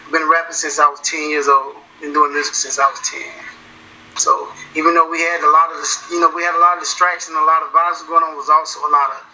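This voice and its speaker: A man from South Carolina answers interview questions in an easy, rambling way, circling back over the same points. His voice is very bright and a little high, and the recording sounds muffled with a faint hiss behind him. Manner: relaxed, repeats himself, drawn-out phrasing. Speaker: musician guest.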